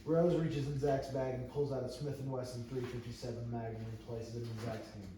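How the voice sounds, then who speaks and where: A man's voice: medium pitch, flat delivery, a little fast, dark tone. A man reading stage directions aloud at a script table read, as narrator. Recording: very echoey room, distant mic, noisy.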